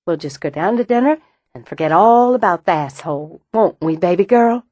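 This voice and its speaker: A woman narrator voicing a fiery woman quick to fury, speaking forcefully and bitingly. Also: on the low side, expressive, no room sound, highs cut off, natural voice. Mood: gentle.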